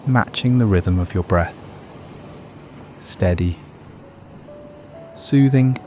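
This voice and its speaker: A man narrating a guided meditation in a soothing, unhurried, measured manner. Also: low-pitched, darkish tone, slight background noise.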